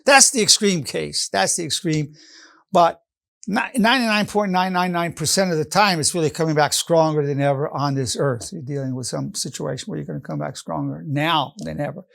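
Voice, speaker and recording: An older man, nearly eighty, delivers a motivational lesson in a gravelly voice that sits a little high. He talks earnestly and hammers home his key phrases. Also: a little fast, a bit bright. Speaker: psychologist teaching an online lesson. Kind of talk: motivational lecture.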